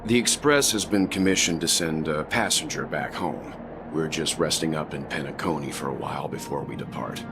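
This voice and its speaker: Stern, composed game traveller, very deep voice, terse delivery, loud music underneath. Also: male voice, normal speed, gravelly. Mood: calm, reassuring. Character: guarded, stern but considerate man, a seasoned traveller. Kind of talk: scripted video game dialogue. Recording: loud background music over dialogue.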